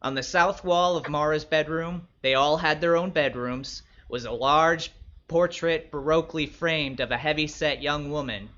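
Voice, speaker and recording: A man's voice: on the high side, moderate pace, bright, forceful tone, muffled recording. A man reading a first-person short story as a wary young male escort of the 1960s, wry and blunt. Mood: wry, reflective.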